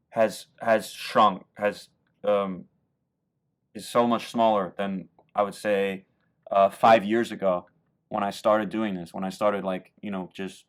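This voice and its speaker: American male YouTuber, slightly low voice, casual rambling explainer. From United States. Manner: loose and rambling, restarting sentences. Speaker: YouTuber explaining German rap lyrics.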